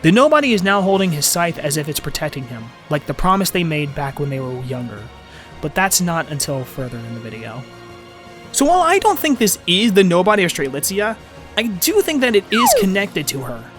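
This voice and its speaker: A man's voice: high voice, big pitch swings, fairly quick, a bit bright, faint music behind. A man narrating an informal scripted fan video essay about a game, with emphatic, swinging phrasing.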